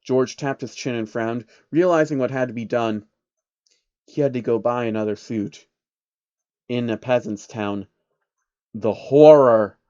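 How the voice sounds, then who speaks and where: A man's voice: medium pitch, measured pace, long pauses, dark tone, no echo. A man narrates a comic story in a casual, irreverent way, dropping in sweary asides. The sound is close and dry, slightly dull and lo-fi. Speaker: narrator of online fan fiction.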